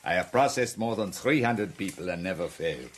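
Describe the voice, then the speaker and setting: Cold war villain in a radio play, really deep voice that swings around a lot, grandiose preachy true believer. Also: male voice, lively intonation, a little hiss or hum. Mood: grandly persuasive. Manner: measured, grandiose, pontificating. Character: persuasive, ideological male brainwashing mastermind, coolly menacing. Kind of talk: BBC radio drama acting.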